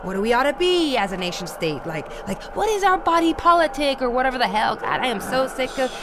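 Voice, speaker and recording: An actress playing a guarded, easily irritated young academic in an audio drama, hesitant and exasperated. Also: female voice, high voice, big pitch swings, brisk, noisy background. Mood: musing, frustrated. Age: young adult. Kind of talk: naturalistic audio drama acting.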